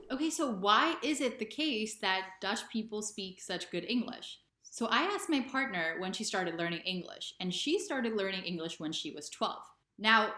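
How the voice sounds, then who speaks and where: A woman's voice: medium pitch, a bit bright. American woman vlogger chatting to camera, mid-range voice, stresses her points, kinda echoey room. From United States. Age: 20s or 30s. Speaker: video presenter, expat vlogger. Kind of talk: casual explainer to camera. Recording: roomy, echoing sound.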